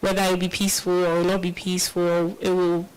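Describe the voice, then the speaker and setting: Woman panelist, smooth clear voice, flat intonation, measured, hedging. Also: on the low side, rough recording. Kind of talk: panel discussion.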